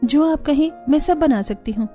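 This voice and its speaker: A gentle young bride from a cartoon, voiced by a woman. She is soft and respectful, with a fairly high, warm tone. Music sits behind her. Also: normal speed, lightly acted. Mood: modest.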